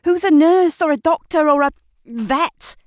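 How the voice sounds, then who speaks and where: A woman narrator voicing a shocked female neighbour in an audio drama, urgent, sharp and commanding. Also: sits high, unhurried, dry recording, clean studio sound, voice pushed up.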